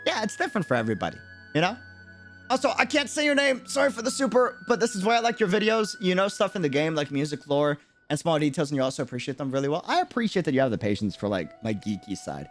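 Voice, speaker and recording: High-pitched, animated male livestreamer. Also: fast talker, soft background music. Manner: conversational, earnest, explaining by examples. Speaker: game livestreamer. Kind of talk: casual livestream commentary.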